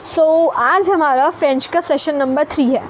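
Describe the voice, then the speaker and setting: A woman's voice: high voice, a little slow, slight background noise, poor audio. A young woman with an Indian accent giving a casual, emphatic online language lesson, mixing Hindi and English and repeating her points. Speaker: language teacher.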